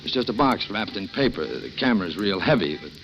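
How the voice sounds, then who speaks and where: A man playing a smooth, coaxing schemer who talks down to a young woman, in a 1940s radio drama. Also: lowish voice, lively intonation, fairly quick, a little hiss or hum. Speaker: lead actor. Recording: dull lo-fi 1940s radio broadcast.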